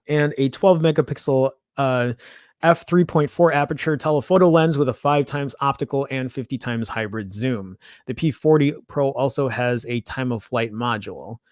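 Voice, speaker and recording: A man's voice: mid-range voice, clear, resonant tone, dry recording, studio quality. A man with a Chicago accent hosting a casual tech news show, reading an article aloud and breaking off into chatty asides and little stumbles.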